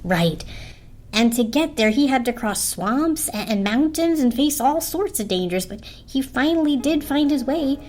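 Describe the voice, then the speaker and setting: A woman as an earnest, high-voiced little toaster. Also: animated, unhurried, darkish tone. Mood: persuasive. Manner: sweet, reasoning, persuasive. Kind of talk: dramatic storybook reading. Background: orchestral film score.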